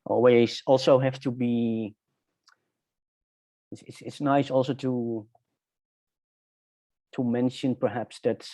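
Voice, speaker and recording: A middle-aged man from the Netherlands with a Dutch accent, telling his personal story reflectively and haltingly in conversation, searching for words. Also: medium pitch, little pitch movement, mellow, no echo. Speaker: interview guest.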